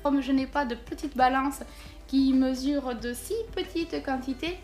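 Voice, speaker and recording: High-voiced chatty woman unboxing. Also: fairly even intonation, measured pace, darkish tone, soft background music. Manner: chatty, thinking aloud with many fillers. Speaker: vlogger unboxing a package. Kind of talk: casual unboxing chat.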